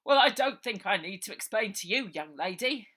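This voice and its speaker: A woman's voice: mid-range voice, expressive, moderate pace, pushed and bright, slightly rough, voice pushed up. A woman voicing a pompous, officious fat old male police sergeant in a storybook. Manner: officious, bossy.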